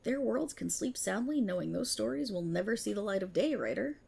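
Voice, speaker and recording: A woman plays a vindictive, smug female cop of the multiverse in an ASMR roleplay. Her delivery is theatrical and mocking, with pitch that swings widely. The voice has a slightly husky, breathy edge as she lords it over a writer. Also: expressive, fairly quick, a little mellow, full character voice. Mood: gloating, vindictive.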